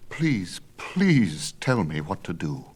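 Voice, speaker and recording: A man voicing a scheming, flattering queen, coaxing and confidential, in a dramatic storybook reading. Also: low-pitched, slow pace, slightly rough, exaggerated voice. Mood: pleading.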